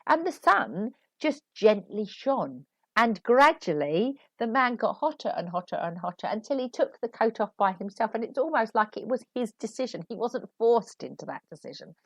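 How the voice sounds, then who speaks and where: A woman hosts a solo podcast and talks through writing tips in a chatty, animated way. She is recovering from a cold and sounds a little sniffly. Her pitch rises and falls a lot, and the recording is dry and close. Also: moderate pace, dry recording. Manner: chatty and animated, laughing asides. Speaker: podcast host and author. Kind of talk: solo podcast advice talk.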